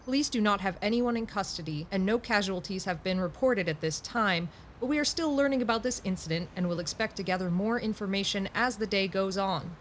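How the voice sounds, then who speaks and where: An actress as a woman news reporter speaks in a composed, formal newscast delivery at a middle pitch. Faint outdoor ambience sits behind her live report. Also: fairly quick, hardly any pauses, a little hiss or hum, lightly acted. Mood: composed, serious.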